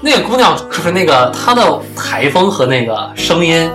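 A man's voice: a little high, sing-song, moderate pace, reverberant, music in the background. A man co-hosting a casual chat, speaking bluntly and repeating himself for emphasis. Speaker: podcast co-host.

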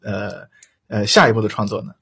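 A man hosting an unscripted interview, asking questions in a roundabout, halting way and repeating himself. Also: fairly low pitch, steady tone, a bit bright, husky. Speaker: host.

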